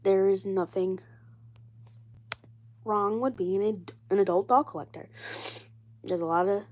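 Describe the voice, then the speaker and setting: A woman in her twenties chatting casually on a livestream, hoarse and froggy from a cold, rambling and repeating herself. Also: mid-range voice, unhurried. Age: 20s. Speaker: doll collector livestreaming.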